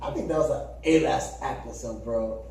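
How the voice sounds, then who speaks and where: A man co-hosting a podcast in casual chat, talking in an animated way, swearing and acting out quotes. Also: medium pitch, normal speed, gravelly, a little hiss or hum. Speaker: podcast co-host. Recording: echoey room, far from the mic.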